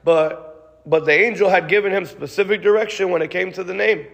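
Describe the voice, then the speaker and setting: A man's voice: high-pitched, brisk, gravelly, fairly bright tone. A man preaching a sermon and praying aloud in a casual, conversational way, full of restarts, with "God" and "Lord" tags. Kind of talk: sermon with spoken prayer. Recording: long reverb of a large hall.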